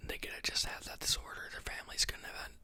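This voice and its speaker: An ASMR host who teaches, reading a book aloud and then thinking aloud in a soft, unhurried whisper with many pauses and fillers.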